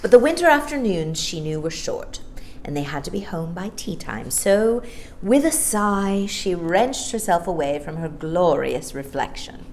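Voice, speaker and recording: A woman with a fairly low voice narrates a children's storybook. Her delivery is theatrical and very animated in pitch. There is some faint noise behind her. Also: a little slow. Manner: theatrical, acts out dialogue. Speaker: storytime reader.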